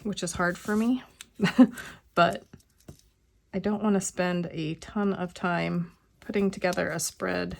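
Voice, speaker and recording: A middle-aged woman with a cold and a slightly hoarse voice, musing aloud in a casual plan-with-me vlog at home. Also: on the low side, fairly even intonation. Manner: unhurried, musing aloud while crafting. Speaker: planner vlogger. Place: quiet home.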